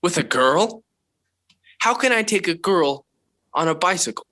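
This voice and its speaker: An actor playing an indignant, pleading fifteen-year-old son in a 1940s radio sitcom. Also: male voice, high voice, animated, a little slow, bright, forceful tone, heavily acted. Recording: vintage 1940s radio broadcast.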